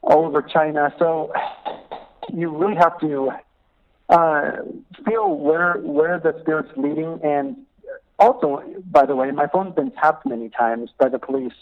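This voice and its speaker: A man's voice: a little high, measured pace. Middle-aged man on a choppy phone line. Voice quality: laughs often while talking. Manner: lively, animated storyteller. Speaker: missionary guest. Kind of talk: phone interview, storytelling. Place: calling in from abroad.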